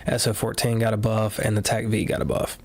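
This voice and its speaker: A man with a fairly low voice and a slightly nasal tone left over from a cold. He talks in a relaxed, offhand way, full of "uh" and "um," as he works through update notes. The sound is dry and close, with no room around it. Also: a little fast, no room sound. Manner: relaxed, filler-heavy, offhand commentary. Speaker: gaming content creator. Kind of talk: casual live walkthrough of patch notes.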